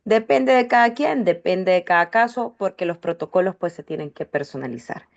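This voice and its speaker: A woman's voice: fairly low pitch, expressive, normal speed, creaky, highs cut off. A woman hosting a health testimonial session, talking in loose run-on phrases with frequent restarts. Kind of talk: warm conversational hosting.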